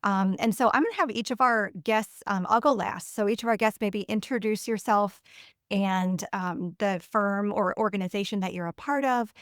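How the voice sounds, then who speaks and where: A woman with a smooth, clear voice of middling pitch, speaking over a video call. She moderates a webinar panel in a friendly, chatty way full of "um"s and "like"s, and the sound is dry and close. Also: moderate pace, dry recording. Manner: friendly, chatty, many um and like fillers. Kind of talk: webinar introduction.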